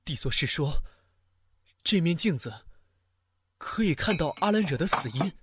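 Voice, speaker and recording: A man acting a deferential, curious immortal gentleman in a fantasy audio drama. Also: on the high side, expressive, normal speed, noisy background, natural voice. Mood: curious, respectful.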